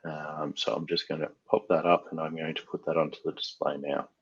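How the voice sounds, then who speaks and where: A man's voice: a bass voice, little pitch movement, brisk, fairly bright tone, breathy edge, muffled recording. A man from Australia casually walking through a device setup tutorial, thinking aloud with frequent fillers. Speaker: IT trainer. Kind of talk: screen-share tech tutorial.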